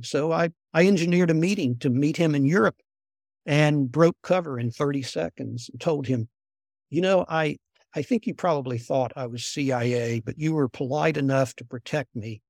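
An older man, a former intelligence officer, telling stories in a soft, unhurried voice as an interview guest. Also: on the high side, dry recording, studio quality. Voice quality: soft-spoken. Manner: unhurried, repeats words when restarting. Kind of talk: conversational storytelling.

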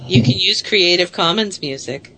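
A woman's voice: fairly low pitch, normal speed, background noise. A woman in her late forties hosting a casual radio talk show, chatty and self-deprecating, laughing easily. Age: 40s.

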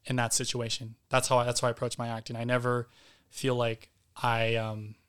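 A man's voice: mid-range voice, monotone, fairly quick, a bit bright, clean tone. A man, an actor and podcast guest, talking casually with many fillers and restarts.

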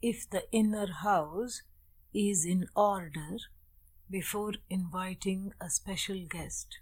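A woman leading a guided meditation as a teacher, speaking deliberately in short phrases with long pauses. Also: mid-range voice, darkish tone, breathy edge. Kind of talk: guided meditation with opening Sanskrit chant.

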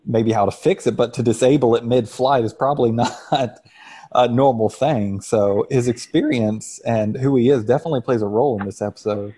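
A man's voice: medium pitch, brisk, dark tone, breathy edge. A man on a discussion panel, talking casually and piecing his thoughts together with some hesitation. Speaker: podcast panelist. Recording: slightly dull lo-fi microphone.

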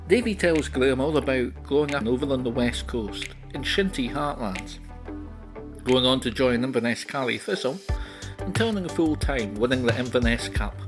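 Man reading over music. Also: mid-range voice. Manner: steady read-out summary. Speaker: podcast narrator. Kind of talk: scripted intro narration.

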